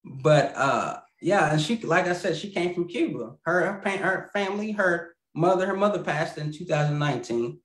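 A man in his thirties chatting as a podcast guest over a video call, rambling and laughing, often trailing off quietly. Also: a little high, lively intonation, normal speed, hardly any pauses, reverberant. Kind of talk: casual interview chat over video call. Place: indoor home room with lamp. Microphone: video call, webcam.

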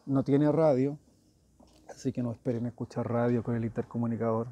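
A man's voice: medium pitch, steady tone, a little fast, warm tone, lo-fi sound. A man giving a casual hands-on review as a motorcycle gear reviewer, informal and thinking aloud.

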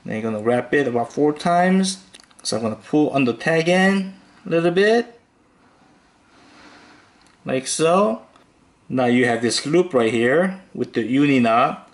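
A man hosting a fishing tutorial, calmly talking through each step with occasional fillers as he ties knots. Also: mid-range voice. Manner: slow, relaxed instructional talk with fillers. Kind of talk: step-by-step demonstration voice-over.

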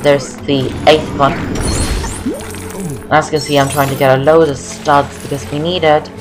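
A young woman talks through a video game. She is chatty and rambling, often repeating herself, with music playing clearly behind her. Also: low voice, flat delivery, normal speed. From Norway. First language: Norwegian. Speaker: gaming video host. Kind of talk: casual game walkthrough commentary.

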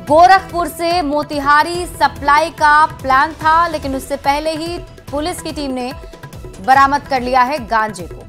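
A woman news anchor reading a bulletin of regional news in a brisk, formal headline style. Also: sits high, fairly bright tone. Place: television studio.

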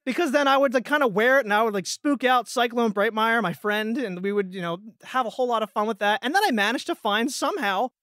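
A man's voice: very high voice, fast talker, hardly any pauses, bright, forceful tone, no echo, studio quality. A man telling stories in a casual podcast chat, peppering them with "like" and quoted reactions. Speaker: voice actor, podcast guest.

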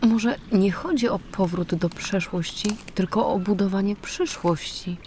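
Woman acting female draenei, very warm mellow voice, mid-pitched, gentle, thoughtful, hopeful, fantasy audio drama. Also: measured pace, a little hiss or hum, natural voice. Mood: tentative, hopeful.

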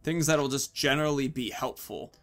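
A man's voice: medium pitch, normal speed, creaky. A man in his thirties giving casual let's play commentary, thinking aloud as he explains his choices. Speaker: gaming video host. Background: game music.

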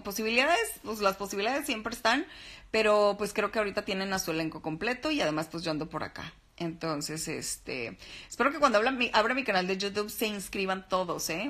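Chatty Mexican woman streaming from bed. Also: medium pitch. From Sinaloa, Mexico. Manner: relaxed, chatty, calls viewers "mi amor" and "baby". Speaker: actress and TV host doing a live stream. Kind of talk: casual live-stream chat reading fan comments. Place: lying in bed in a bedroom, indoors. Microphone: phone held at arm's length.

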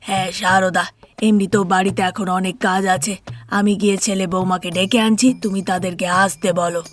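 A woman's voice: mid-range voice, flat delivery, a little fast, lightly acted. A woman playing a placid, reluctant elderly husband and grandfather in a cartoon, speaking in a mild, grumbling manner. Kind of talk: Bengali cartoon dialogue.

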